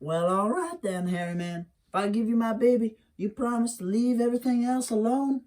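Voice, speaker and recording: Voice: mid-range voice, moderate pace, a little mellow, voice pushed up, lightly acted. A storyteller voicing a clever, stubborn country mother who speaks slyly and defiantly. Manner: sly, defiant. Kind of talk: oral folktale telling.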